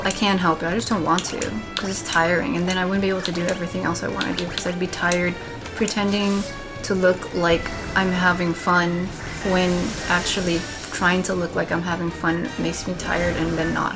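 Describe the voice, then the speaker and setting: Young female let's player, flat and low-pitched, thinks out loud with game music underneath. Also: poor audio. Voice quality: monotone. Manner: thoughtful, rambling, candid. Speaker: let's play gamer. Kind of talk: casual commentary while gaming.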